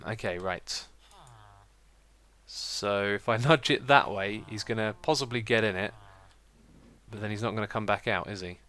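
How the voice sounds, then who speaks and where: A British man giving casual, chatty gameplay commentary as a let's play host, with a slightly croaky voice and a self-mocking manner. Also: fairly low pitch, a little slow, pauses often, pushed and bright. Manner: chatty, self-mocking, laughs at own slips.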